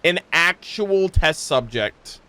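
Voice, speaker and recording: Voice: mid-range voice, expressive, takes it slowly, bright, forceful tone, smooth. A game streamer reacting casually to a story as it plays, exclaiming in slang and swearing freely.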